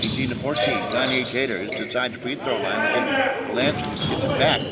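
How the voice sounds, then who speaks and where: A man's voice: medium pitch, big pitch swings. Man calling basketball play-by-play. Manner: brisk, talks over the action. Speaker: play-by-play announcer. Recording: loud crowd and bouncing balls behind. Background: gym crowd and basketball bounces.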